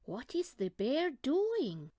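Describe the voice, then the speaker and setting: Storybook elderly neighbour lady, voiced by a female reader: kindly, inquisitive, slow and lilting. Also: high-pitched, wildly swooping pitch, mellow, dry recording, clean studio sound, heavily acted. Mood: gently inquiring.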